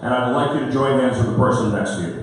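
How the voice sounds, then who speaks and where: Man, quick speech, direct and folksy, echoey hall, far mic. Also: mid-range voice, noisy background. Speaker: candidate for party chair. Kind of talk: acceptance speech.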